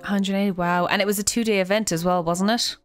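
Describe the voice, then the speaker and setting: A woman's voice: medium pitch, fairly even intonation, fast, smooth, faint music behind. A woman hosting an interview, chatty and playful, laughing easily. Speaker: host, interviewer. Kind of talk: casual interview chat.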